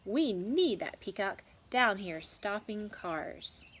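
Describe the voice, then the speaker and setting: A woman's voice: medium pitch, expressive, slow, fairly bright tone, breathy edge, exaggerated voice. A woman voicing a worried, practical male farmer in a children's storybook, emphatic and fretful, in a noisy home recording. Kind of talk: children's storybook read-aloud.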